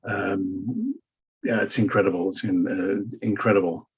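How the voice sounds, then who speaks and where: A man's voice: lowish voice, steady tone, unhurried, a little hiss or hum, poor audio. A man with an Australian accent who lives in Germany, chatting as a guest and telling stories with lots of restarts. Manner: rambling storyteller, many restarts. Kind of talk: casual conversation.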